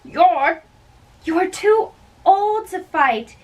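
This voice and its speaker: Woman playing scornful noble wife, very high pitch, slow delivery, stage play, distant mic. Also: animated, a little mellow, heavily acted. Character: scornful noble wife mocking her old husband. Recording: distant microphone.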